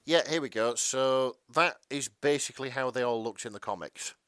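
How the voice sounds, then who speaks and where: A man co-hosting a podcast, chatting casually in a slightly halting, hesitant way. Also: medium pitch, fairly bright tone, clear, resonant tone, no room sound. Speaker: podcast co-host. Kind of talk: casual chat.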